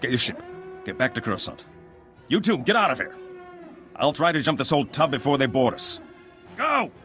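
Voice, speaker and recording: An actor playing a spaceship ally in a video game. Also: male voice, mid-range voice, big pitch swings, brisk, fairly bright tone, soft background music. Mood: urgent. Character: urgent male spaceship ally.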